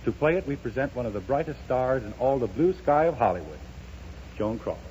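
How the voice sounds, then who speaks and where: A man's voice: medium pitch, expressive, brisk, heavy background noise. A man hosting a 1930s radio show, giving a scripted introduction in a formal, grand announcing manner. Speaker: radio program host. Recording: muffled 1930s radio broadcast.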